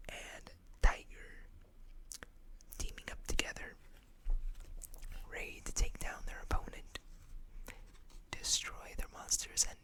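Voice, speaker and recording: An ASMR host speaking in a breathy whisper, reading card text aloud with casual asides in a hushed, deliberate way.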